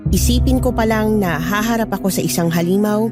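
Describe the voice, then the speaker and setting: A woman's voice: medium pitch, a little fast, music in the background. A woman narrating a scripted first-person Filipino horror story.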